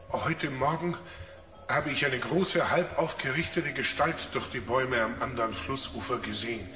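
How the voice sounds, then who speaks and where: An actor playing an uneasy researcher in a sci-fi radio play, reporting formally and haltingly to base camp. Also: male voice, medium pitch, natural voice. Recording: echoey voice under loud music.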